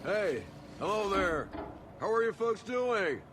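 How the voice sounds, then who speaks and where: Swooping, jovial small-town tour guide. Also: male voice, high-pitched, sing-song, slow pace, background noise, full character voice. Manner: chatty, showy, salesmanlike. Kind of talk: video game character acting.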